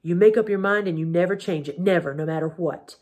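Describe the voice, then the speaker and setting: Woman doing a boy, raging, tearful, half shouting, husky, low voice. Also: brisk, lightly acted. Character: boy, grieving and furious at his mother.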